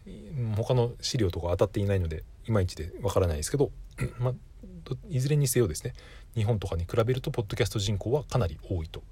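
Male solo podcast host, fast and conversational, slightly low husky voice, unscripted and self-correcting. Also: a little mellow. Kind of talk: casual solo podcast talk.

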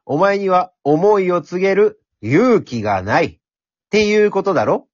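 Guy doing a cocky lil talking pencil buddy, rough talk, high swoopy voice, radio drama. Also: lively intonation, normal speed, dry recording, lo-fi sound. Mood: cheeky, teasing. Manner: rough colloquial speech, cocky, encouraging. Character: brash, teasing talking pencil, cheeky encouraging male buddy. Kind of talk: amateur radio drama acting.

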